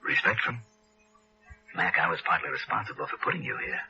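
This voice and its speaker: Low-voiced American radio detective. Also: male voice, huge pitch swings, fairly quick, heavily acted. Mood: gentle, concerned. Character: hardboiled male freelance insurance investigator, brisk yet decent. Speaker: lead actor and narrator. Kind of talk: 1960s noir radio drama narration. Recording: dull old radio broadcast, music behind.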